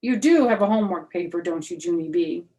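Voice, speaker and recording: A woman reading a storybook as a patient, gently stern male schoolteacher with pointed questions. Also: lowish voice, animated, brisk, lightly acted. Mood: firm, polite.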